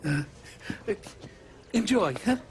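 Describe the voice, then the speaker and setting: An actor playing a fawning, effusive restaurant host with an Italian accent in a game cutscene. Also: male voice, high voice, expressive, slow pace, a little hiss or hum. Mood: effusive welcome. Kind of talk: dramatic game cutscene acting.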